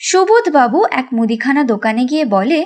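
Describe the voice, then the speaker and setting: A woman narrating a cartoon story in a lively, sing-song way. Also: sits high, brisk.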